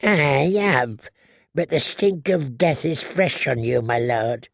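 A man voicing an ancient, tiny dwarf woman seer who speaks slowly and eerily with a croaking, drawn-out voice. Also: medium pitch, animated, dry recording, clean studio sound, heavily acted. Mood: wheedling. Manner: slow, wheedling, prophetic. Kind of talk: audiobook character voice.

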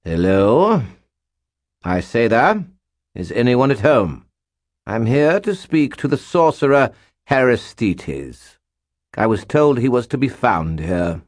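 A man's voice: mid-range voice, sing-song, takes it slowly, no echo, clean studio sound, voice pushed up. A man voicing a pompous character who fakes an obnoxious posh British accent. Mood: haughty. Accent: British (put on). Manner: haughty, obnoxious.

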